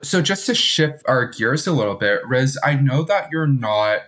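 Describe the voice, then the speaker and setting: A man co-hosting a podcast, chatting in an animated way with lots of "like" and "right?". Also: medium pitch, unhurried. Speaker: podcast co-host. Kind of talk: casual chat.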